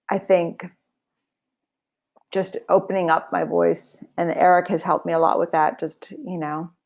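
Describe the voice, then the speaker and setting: Low gravelly woman musician. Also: a little mellow. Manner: reflective, many fillers like "like" and "you know". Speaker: singer and guitarist. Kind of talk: casual interview chat.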